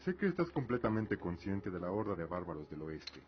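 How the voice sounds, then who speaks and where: An actor playing a proud, devout middle-aged lord and father in a fantasy radio drama, speaking in a measured, formal, earnest way. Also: low-pitched, big pitch swings, muffled recording. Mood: calm, formal.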